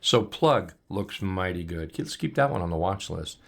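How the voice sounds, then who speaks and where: A man coaching on trading, talking loosely and upbeat with many ums and restarts as he walks through charts, his voice going hoarse. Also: low-pitched, animated, moderate pace, a bit bright. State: losing his voice. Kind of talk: casual chart walkthrough.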